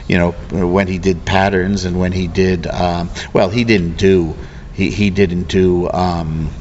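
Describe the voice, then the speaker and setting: A middle-aged American man giving a casual interview, speaking earnestly and haltingly, often circling back mid-sentence. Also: deep voice, little pitch movement, darkish tone. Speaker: interviewee, local arts program representative. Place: event venue before a program starts. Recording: dull, noisy on-location recording.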